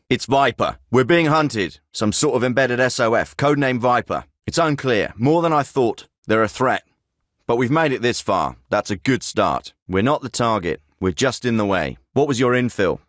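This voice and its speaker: An actor playing a terse, profane special forces team leader in a video game. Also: male voice, lowish voice, animated, normal speed, fairly bright tone, no echo. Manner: terse, profane and matter-of-fact. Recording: dry studio recording.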